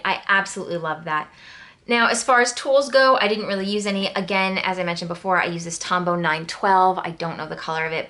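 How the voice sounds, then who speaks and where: A woman's voice: lowish voice, a bit bright. Chatty American woman, casual voice-over. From Connecticut, New England, United States. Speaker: planner channel host.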